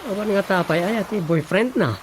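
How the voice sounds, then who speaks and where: A man's voice: sits high, a little fast, background noise, lightly acted. A man playing a practical, sceptical younger relative in an Ilocano radio comedy, talking matter-of-factly with an Ilocano accent.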